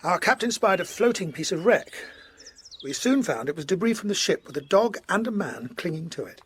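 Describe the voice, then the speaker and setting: A man playing a courteous, earnest Georgian colonel in a period audio drama, recounting gravely in formal, slightly hesitant speech. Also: sits high, sing-song, fairly bright tone, heavily acted. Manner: formal, hesitant, measured storytelling.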